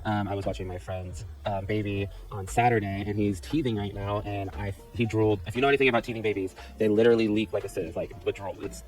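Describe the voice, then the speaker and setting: A man with a cold opening his reaction video, congested and tired, chatting rapidly. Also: on the low side, fairly even intonation, soft background music, rough recording. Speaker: reaction youtuber. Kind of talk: casual vlog intro.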